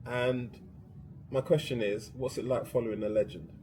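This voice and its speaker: Man, podcast host, mellow dark voice, friendly short questions, faint noise. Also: medium pitch, fairly even intonation, moderate pace. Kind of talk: casual interview.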